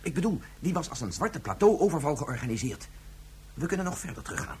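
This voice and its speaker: An actor playing an insistent scientist in a science-fiction radio drama, reasoning emphatically step by step. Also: male voice, on the high side, sing-song, fairly quick, a little mellow, husky, full character voice. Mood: urgent, reasoning. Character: insistent, reasoning male scientist and father figure.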